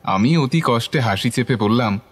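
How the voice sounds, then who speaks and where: A man's voice: mid-range voice, lively intonation, normal speed. A man giving scripted, dramatic narration of a horror story.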